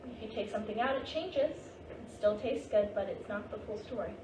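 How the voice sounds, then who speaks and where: A woman playing the gentle, wise ghost of a Japanese grandmother in a staged play reading, patient and reassuring. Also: medium pitch, animated, close to an everyday voice. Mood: tender, reassuring. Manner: patient, reassuring, unhurried guiding. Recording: distant, noisy, echoey staged reading.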